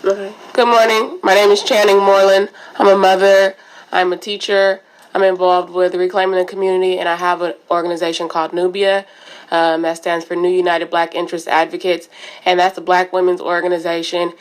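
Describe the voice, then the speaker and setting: Young woman, twenties, lowish steady flat voice, composed, matter-of-fact. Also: brisk. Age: 20s. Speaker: teacher and community organizer. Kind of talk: self-introduction on radio panel.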